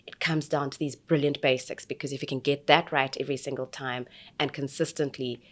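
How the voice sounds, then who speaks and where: Woman interviewer, low voice, lively questions for a business guest. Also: brisk. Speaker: interviewer, coach at entrepreneurship centre. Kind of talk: conversational interview.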